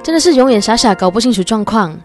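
A woman plays a sweet young girlfriend in a radio drama. Her voice sits fairly high and she talks in a chatty, devoted way, eager to please, with faint music behind her. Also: lively intonation, fast, close to an everyday voice. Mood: self-blaming.

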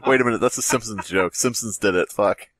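A man's voice: on the low side, brisk, fairly bright tone, dry recording. A man co-hosting a podcast, casually joking, swearing and reading aloud. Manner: sweary, wisecracking. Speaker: podcast co-host.

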